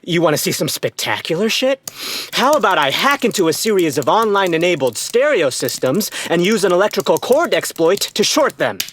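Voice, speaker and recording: Young boastful hacker; higher-pitched, very lively swings, mock-humble sarcasm for audio comedy. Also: male voice, a little fast, slight background noise. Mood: cocky, challenging. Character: cocky young Chinese-American male hacker eager to prove himself. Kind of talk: audio play comedy acting.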